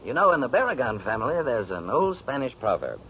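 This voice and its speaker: Suave, sardonic playboy, played by a male actor, animated period radio drama, dull noisy sound. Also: medium pitch, fast talker, lightly acted. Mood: needling. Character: smooth, sardonic society playboy. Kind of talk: 1940s radio acting. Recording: muffled, noisy 1940s radio broadcast.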